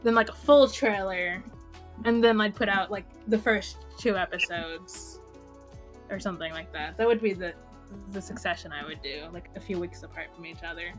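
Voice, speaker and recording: A woman's voice: lowish voice, normal speed. A woman hosting a casual podcast, talking in a rambling, informal way with lots of "like". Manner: rambling, heavy use of "like". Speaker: podcast host. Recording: music behind the voice.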